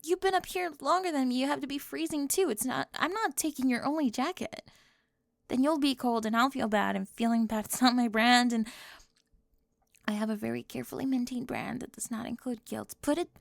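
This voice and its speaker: A young woman voicing a sharp-tongued cheerleader bully for an ASMR roleplay, sarcastic and defensive, often dropping to a whisper close to the mic. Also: fairly high pitch, vocal fry, no echo.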